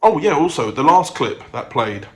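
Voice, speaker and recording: A man's voice: a little high, big pitch swings, moderate pace, a little mellow. A man chatting casually to his vlog audience in a rambling, self-interrupting way. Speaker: vlogger and audio drama producer. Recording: noticeable background noise.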